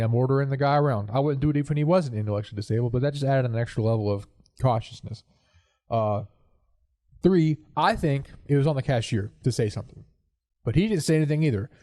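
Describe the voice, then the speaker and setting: A man's voice: mid-range voice, fast talker, warm tone, no echo. A man with a congested, stuffed-up voice hosting a podcast, chatting casually and telling an anecdote. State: congested from a cold. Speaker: podcast host. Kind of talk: casual chat and anecdote storytelling. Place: living room corner home studio.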